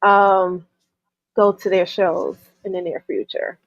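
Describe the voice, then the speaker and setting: Female co-host from New York, stuffy with a cold, slow and rambling, dull dry lo-fi sound. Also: medium pitch, flat delivery, no room sound. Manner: chatty, with frequent ums and restarts. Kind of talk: casual chat.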